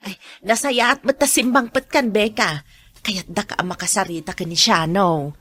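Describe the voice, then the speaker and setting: A woman's voice: medium pitch, moderate pace, fairly bright tone, natural voice. A woman playing a mother talking with her grown daughter in an Ilocano radio drama. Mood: probing.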